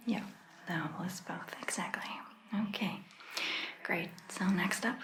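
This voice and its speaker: A woman playing a gentle, attentive vampire doctor in a soft ASMR roleplay, whispering breathily and giving soothing, reassuring instructions. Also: on the low side, roomy sound, a little hiss or hum. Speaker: ASMR performer.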